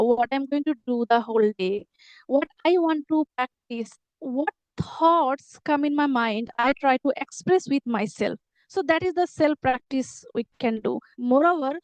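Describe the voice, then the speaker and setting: A woman's voice: sits high, darkish tone, no echo, highs cut off. A middle-aged woman, explaining earnestly as a teacher and repeating herself to make her points. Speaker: English teacher. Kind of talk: conversational English lesson dialogue.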